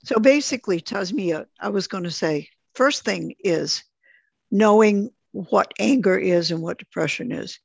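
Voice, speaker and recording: A woman's voice: mid-range voice, animated, a little slow, vocal fry, husky, dry recording. A woman teaching an online group lesson, explaining things simply, step by step, over dull call audio.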